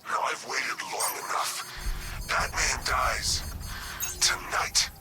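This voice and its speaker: A man's voice: medium pitch, steady tone, takes it slowly, over loud music, lightly acted. A man playing a vengeful, impatient armored commander in a video game, speaking with seething threat and hatred. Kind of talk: video game villain acting.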